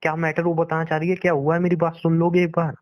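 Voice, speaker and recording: A man's voice: fairly high pitch, completely flat delivery, quick pace, dry recording, dull audio. A young man arguing in a private phone conversation, insistent and pleading, often repeating himself. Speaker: boyfriend on a phone call.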